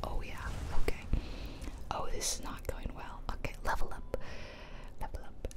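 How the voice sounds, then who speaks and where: A woman whispering chatty, self-deprecating commentary over gameplay in a soft, breathy ASMR style. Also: moderate pace. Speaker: ASMR gaming video host.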